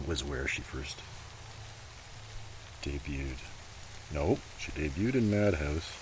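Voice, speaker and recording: A man giving a casual, rambling ASMR show-and-tell outdoors, half murmuring and drifting into whispers. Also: very deep voice. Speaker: host of a collection show-and-tell. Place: outdoors in a gentle breeze. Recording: outdoor noise, light breeze. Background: breeze, paper rustling and page flipping.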